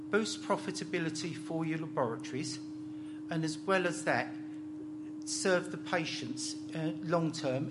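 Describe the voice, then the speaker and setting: A man's voice: fairly high pitch, heavy background noise. A man in his sixties from Essex with an East London and South Essex accent, giving a chatty conference talk with dry, self-deprecating jokes. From Essex, England. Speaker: conference speaker on laboratory pathology. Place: conference hall.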